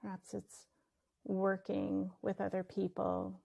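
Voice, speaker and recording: A woman leading a guided meditation, speaking gently and leaving long pauses between her phrases. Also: medium pitch, completely flat delivery, creaky, husky. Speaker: meditation teacher.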